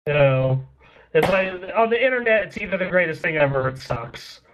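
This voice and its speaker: A man's voice: high voice, expressive, noisy background. A man hosting a gaming podcast, chatting casually, with a lot of "like" and "um" fillers. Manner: brisk, filler-heavy with many "like"s. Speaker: podcast host. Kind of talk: casual opinionated chat. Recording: slightly dull lo-fi audio.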